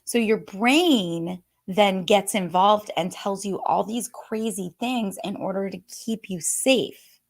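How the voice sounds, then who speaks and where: A woman coach whose voice is hoarse and a little worn. She talks to her viewers in an upbeat, chatty way, at an ordinary middle pitch for a woman. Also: slow pace. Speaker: fitness business coach. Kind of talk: live-streamed coaching talk to viewers.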